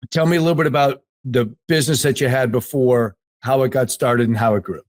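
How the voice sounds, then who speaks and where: A man's voice: medium pitch, steady tone, normal speed. A man speaking conversationally as the host of an interview podcast.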